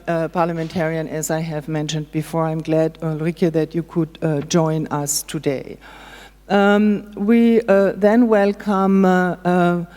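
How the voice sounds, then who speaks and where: A woman's voice: fairly low pitch, warm tone. A woman moderating a conference panel, introducing speakers with frequent hesitations and filler sounds. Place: conference hall. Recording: reverberant conference hall.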